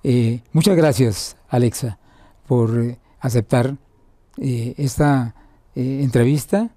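An older man with a very dark, deep-toned voice asks questions in a friendly manner. He stalls often on fillers and restarts his sentences. The sound is dry, recorded from a camera set back in a bright indoor room. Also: slow, no echo. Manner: hesitant, lots of fillers, friendly. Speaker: interviewer for a birding club. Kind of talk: casual conversational interview.

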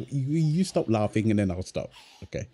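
A man playing a playful, doting young husband and father in a soft, tender ASMR roleplay, teasing as he talks. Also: on the low side. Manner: teasing, tender, chuckles often while talking. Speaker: audio roleplay performer. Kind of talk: ASMR boyfriend roleplay, one-sided dialogue.

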